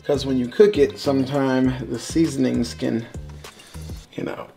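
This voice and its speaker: A man's voice: medium pitch, steady tone, unhurried, vocal fry, over music. A man in his thirties co-hosting a home cooking show, talking in an upbeat, easygoing, jokey way while seasoning, on a lapel mic in a home kitchen. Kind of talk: casual cooking show chat.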